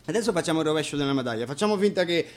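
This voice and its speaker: A man introducing songs informally at a live radio concert, halting and restarting as he searches for words. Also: a little high, animated, heavy background noise. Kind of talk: informal spoken song introductions.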